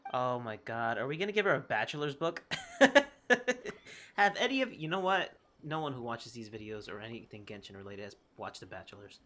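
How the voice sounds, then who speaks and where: A game streamer doing casual, expressive commentary and reading on-screen text aloud in an informal way. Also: medium pitch, normal speed, a little hiss or hum. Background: game music.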